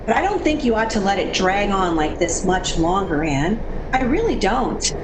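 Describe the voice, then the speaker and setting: A woman's voice: medium pitch, sing-song, normal speed, noisy background, lightly acted. An actress playing a sensible, worried woman in a 1940s radio drama, speaking with earnest, theatrical delivery. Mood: gently doubtful. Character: sensible, worried woman friend, haunted when narrating the past. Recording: echoing radio play recording with music behind.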